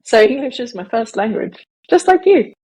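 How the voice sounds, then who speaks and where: A woman co-hosting a podcast, chatting casually in a measured, inquisitive way. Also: fairly high pitch, big pitch swings, a little mellow, breathy edge, poor audio. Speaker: podcast co-host.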